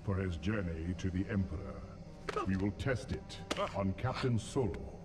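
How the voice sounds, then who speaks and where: A man playing a menacing, imperious armored dark lord in a game cutscene, speaking in measured, ominous commands. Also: sits low, expressive. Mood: commanding. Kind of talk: video game cutscene acting. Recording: long reverb under loud film music.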